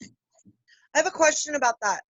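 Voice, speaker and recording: Woman, fairly high voice, very dry sound, casual anecdotal talk, trainee on a video call. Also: animated, unhurried, dry recording, highs cut off. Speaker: salon employee attending training.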